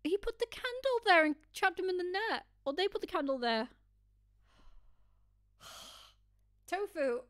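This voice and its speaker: A woman's voice: very high-pitched, sing-song, unhurried, pauses often, fairly bright tone, no echo, studio quality. A woman streaming a casual let's play, rambling and throwing in reactive asides as game music plays behind her. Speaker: streamer reading all characters.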